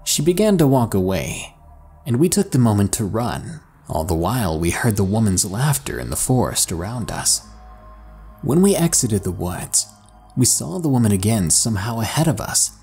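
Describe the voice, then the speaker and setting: A low-pitched man narrates a scary story with slow, hushed delivery and dramatic pauses. His intonation rises and falls with lively swings. Faint music plays quietly underneath. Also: slightly rough. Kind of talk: scripted horror narration. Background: soft background music.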